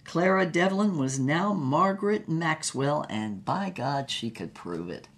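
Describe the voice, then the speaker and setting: A woman's voice: sits low, expressive, a little slow. An actress playing an older, reflective woman with a wry, emphatic way of telling her mystical life story in a drama. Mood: wry, reminiscing. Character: old woman, reflective mystical storyteller and former fugitive artist. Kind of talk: dramatic first-person storytelling, acted as conversation.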